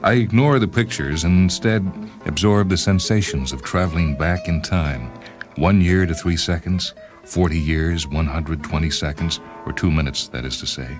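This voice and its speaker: A man's voice: low voice, normal speed, music in the background, natural voice. A man reading as a disgruntled, self-justifying inventor, wry and fussily verbose, in a first-person short story. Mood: deadpan.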